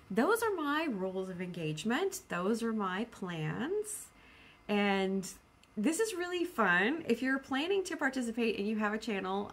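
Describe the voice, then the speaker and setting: A woman's voice: medium pitch, a bit bright. A woman talking casually to her viewers as a vlog host, rambling and thinking aloud as she goes. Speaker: host of a book channel.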